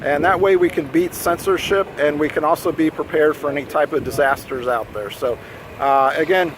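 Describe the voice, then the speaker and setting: A man's voice: on the high side, normal speed. A man speaking informally to the camera as a vlogger and amateur radio enthusiast. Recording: outdoor recording with clear ambient noise.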